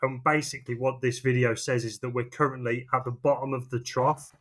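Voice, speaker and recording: A man's voice: medium pitch, fairly even intonation, a little fast, a bit bright. A man, talking informally and in a rambling way as a podcast co-host. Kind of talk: casual chat.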